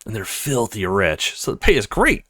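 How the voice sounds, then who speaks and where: A man's voice: on the low side, very animated, moderate pace, bright, forceful tone, no room sound, clean studio sound, full character voice. A man voicing an eager, chatty young student in a read-aloud of a video game. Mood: excited. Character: eager upbeat young student with a new job.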